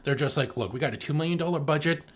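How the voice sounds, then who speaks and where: Give me a fairly high-pitched man as a podcast co-host, slangy and jokey in a casual film review. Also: racing. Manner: slangy, joking, sweary.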